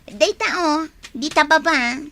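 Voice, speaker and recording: A woman's voice: high-pitched, measured pace, sharp tone. A woman acting as a proud, blunt bride-to-be in an Ilocano radio drama, showy and emphatic, with an Ilocano Filipino accent. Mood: breezy, dismissive. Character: proud young bride-to-be, blunt and breezy with friend.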